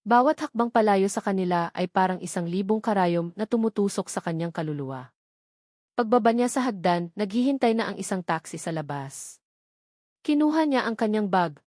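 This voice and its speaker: A woman's voice: medium pitch, expressive, normal speed, a little mellow, no echo. Filipino woman narrating drama, very dry sound. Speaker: story narrator. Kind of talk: dramatic scripted romance narration.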